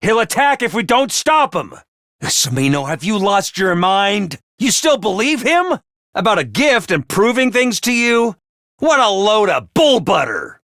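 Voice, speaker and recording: A very high, bright little mascot creature, cheery over the announcement system but sly and cold underneath. Its pitch jumps around a lot. The studio recording is close and dry. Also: male voice, very animated, unhurried, dry recording, heavily acted. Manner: cheery announcer voice turning snide and callous. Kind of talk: video game voice lines.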